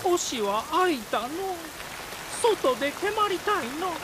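Super high childlike lord, whimsical courtly talk, drawn out, samurai game. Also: male voice, very animated, measured pace, background noise, heavily acted. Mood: playful, whimsical. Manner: whimsical archaic courtly speech. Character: childlike, playful effete male nobleman. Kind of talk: samurai game cutscene acting.

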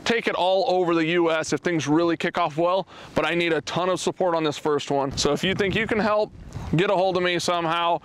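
An excited man in his thirties hosts his own vlog from an open race track, speaking in a fairly high voice. The camera is held at arm's length outdoors, with faint background noise. He pitches his event with lively hand gestures. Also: a little fast. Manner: energetic, animated, talks with his hands. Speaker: automotive vlogger, event organizer. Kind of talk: casual promotional walk-and-talk vlog.

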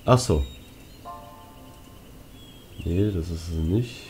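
A man's voice: low-pitched, extremely slow, a little mellow, soft background music. A young man giving casual gameplay commentary, slangy and muttering to himself. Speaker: let's player.

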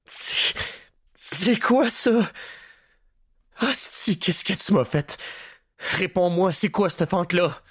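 A man's voice: medium pitch, normal speed, hardly any pauses, dry recording, clean studio sound, pitched above the performer's own voice, lightly acted. A man voicing a terrified, exhausted captive man who begs for his life. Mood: panicked pleading. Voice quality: gravelly. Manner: sobbing, panicked and begging.

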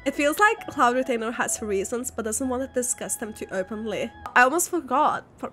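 A woman's voice: fairly high pitch, animated, fairly quick, fairly bright tone. A woman giving casual, gushing reactions while playing through a video game story, echoing bits of the dialogue. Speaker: gaming streamer reacting to a story quest. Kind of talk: casual playthrough reaction commentary. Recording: game music behind the voice. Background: music.

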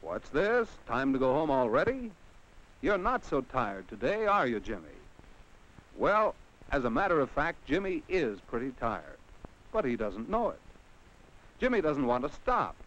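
Animated American man narrating a vintage educational film. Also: mid-range voice, unhurried. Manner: addresses the child on screen directly with rhetorical questions. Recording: dull vintage 1950 film soundtrack.

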